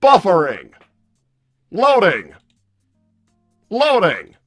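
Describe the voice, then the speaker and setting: Loud, high-pitched belligerent combat robot. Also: male voice, wildly swooping pitch, extremely slow, faint music behind, full character voice. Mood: formal, blunt. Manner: declamatory, mechanical, stilted delivery. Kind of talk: improvised character roleplay on a livestream.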